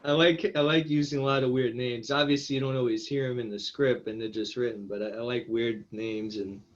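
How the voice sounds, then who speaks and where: A man's voice: mid-range voice, steady tone, fairly quick, lo-fi sound. A man speaking as a screenwriter in a casual feedback discussion, in a hesitant, self-deprecating manner.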